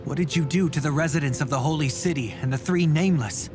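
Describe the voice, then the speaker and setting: A man voicing a polite, grave, guarded gentleman in a video game, speaking formally. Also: music in the background, close to an everyday voice. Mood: demanding. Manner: formal, measured. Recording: loud rumbling noise behind.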